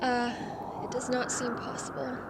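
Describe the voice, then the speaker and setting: Radio drama role: humble, devout guide, played by a woman, very high-pitched. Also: completely flat delivery, normal speed, background noise. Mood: solemn. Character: Henri, wounded French mountain guide, reverent and grateful man. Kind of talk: radio play acting.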